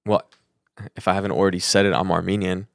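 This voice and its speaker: A man's voice: deep voice, flat delivery, fast talker, creaky, dry recording, clean studio sound. A man co-hosting a podcast, talking casually and informally in slangy everyday language. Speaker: podcast co-host; freelance audio engineer.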